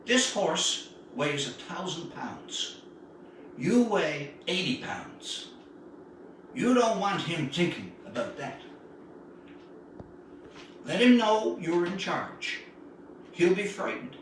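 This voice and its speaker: A stern, cautious father as read by a man instructs his young son in a storybook tale. The voice is bright and firm, with lively pitch movement, heard in an echoing room. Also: takes it slowly, pauses often, exaggerated voice. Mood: stern, reassuring. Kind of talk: storybook reading. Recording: echoey room, distant mic, faint noise.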